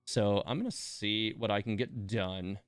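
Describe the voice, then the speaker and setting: A man's voice: on the low side, measured pace, no room sound, clean studio sound. A man giving casual live game commentary as a streamer, thinking aloud in a laid-back way.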